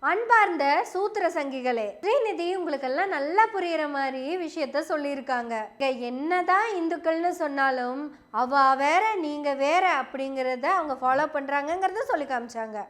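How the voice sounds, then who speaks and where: A woman's voice: high-pitched, lively intonation, moderate pace. A woman giving an opinion monologue to camera as a political commentator, sarcastic and full of rhetorical questions.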